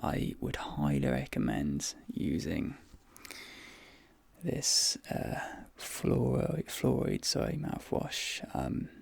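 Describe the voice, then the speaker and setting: A man performs a soft-spoken ASMR dentist role play in a very gravelly, breathy voice. He talks slowly and haltingly, drifting into whispers, and sounds close and dry. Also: fairly low pitch, no room sound.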